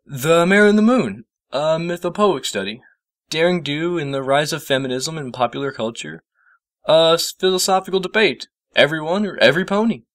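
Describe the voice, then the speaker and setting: A man's voice: fairly high pitch, animated, moderate pace, a bit bright, no room sound, clean studio sound, pitched above the performer's own voice. A man voicing a wry, friendly young male creature, a helper with a casual, dryly teasing manner, in a storybook reading. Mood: reading aloud. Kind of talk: storybook character voice.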